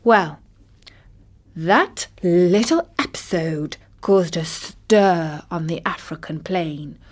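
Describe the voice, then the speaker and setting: A woman voicing a wry old vulture who narrates an animal fable in a measured, dramatic storytelling style. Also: lowish voice. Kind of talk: scripted storytelling in character.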